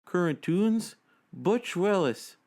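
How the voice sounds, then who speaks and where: Male actor as gloomy cartoon blogger, high voice, meek, plaintive, self-pitying, dramatic diary reading. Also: lively intonation, slow, darkish tone, exaggerated voice. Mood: gloomy, weary.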